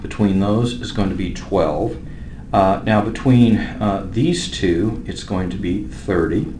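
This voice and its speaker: Very deep-voiced male math teacher. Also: darkish tone. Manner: measured, with pauses while writing. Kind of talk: instructional math lesson, working through problems step by step. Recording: roomy echo with faint background noise.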